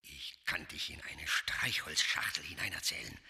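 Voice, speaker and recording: A sinister storyteller in a radio play, hushed and menacing. His very low voice is gravelly, creaky and extremely husky. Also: moderate pace, heavily acted. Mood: quietly menacing. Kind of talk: German radio drama acting.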